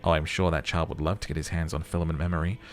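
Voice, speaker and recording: Man playing a game police lieutenant: deep, dry, smug, observant, faint music beneath. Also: flat delivery, very fast. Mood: dryly smug.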